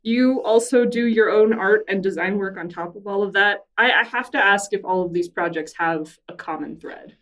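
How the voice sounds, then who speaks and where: A woman's voice: mid-range voice, normal speed, hardly any pauses, a bit bright, far from the mic. Woman podcast host interviewing a guest, curious, sounds a little off-mic. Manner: curious, friendly questioning. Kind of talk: casual interview. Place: public library room.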